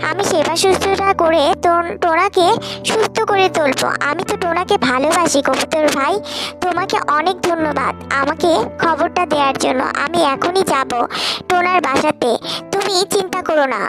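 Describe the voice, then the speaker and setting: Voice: very high-pitched, normal speed. A performer voicing a hurt but devoted female tailorbird wife in a children's cartoon. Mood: devoted, tender. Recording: music behind the voice.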